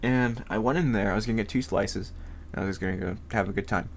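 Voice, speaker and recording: A man's voice: on the low side, fast talker, a little hiss or hum. A young man with a congested voice, rambling casually as a podcast host, swearing freely and laughing often.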